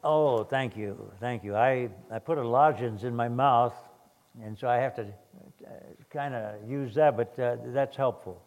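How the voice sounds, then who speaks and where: An older man with a hoarse voice, preaching a sermon in a halting way with many restarts and fillers. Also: mid-range voice, darkish tone, lo-fi sound. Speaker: guest preacher.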